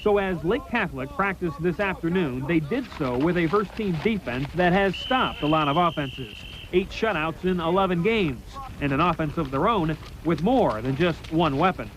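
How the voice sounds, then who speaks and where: A man's voice: on the high side, expressive, normal speed, low-quality recording. A man narrating a scripted sports news package as a reporter. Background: music.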